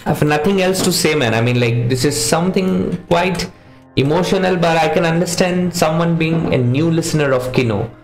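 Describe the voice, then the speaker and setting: A man's voice: on the high side, moderate pace, echoey room. Earnest male video host, music behind. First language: not English or Hindi, another mother tongue. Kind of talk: casual chat to camera. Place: small home studio room with posters. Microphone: studio condenser microphone on boom arm.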